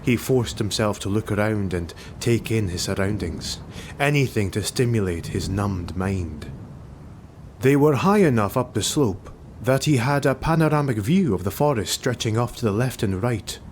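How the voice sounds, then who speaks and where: A man's voice: lowish voice, expressive, normal speed, darkish tone. A man narrating a fantasy audiobook in a dramatic, scripted storytelling style. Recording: noisy home recording.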